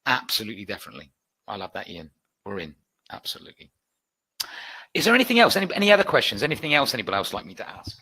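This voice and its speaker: A British man with a bright, forceful voice runs the question time of a live online trading class. He is chatty and upbeat, reading out viewers' requests and promising future sessions. His talk is loose and warm-hearted, full of quick asides. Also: lowish voice, huge pitch swings, slightly rough. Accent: British English. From United Kingdom. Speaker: trading educator hosting a webinar. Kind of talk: live webinar Q&A.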